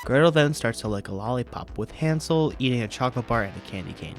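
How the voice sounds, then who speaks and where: A man's voice: medium pitch, expressive, fast talker, over music. A man hosting a video review, narrating and commenting from a script. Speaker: YouTube host reviewing horror videos.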